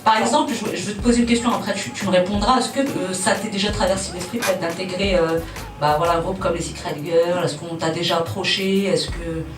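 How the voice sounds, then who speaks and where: A woman's voice: on the low side, steady tone, brisk. Woman hosting a chat show, rambling questions, music behind, roomy far-off sound. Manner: chatty, asks long rambling questions. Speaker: talk show host. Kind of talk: casual interview.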